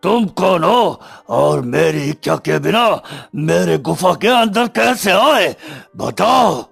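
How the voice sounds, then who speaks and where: A man's voice: on the high side, animated, slow pace, creaky, husky, pitched above the performer's own voice, full character voice. A man voicing an angry, villainous sorcerer in a cartoon story, drawing out his threats, with music behind. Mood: menacing anger.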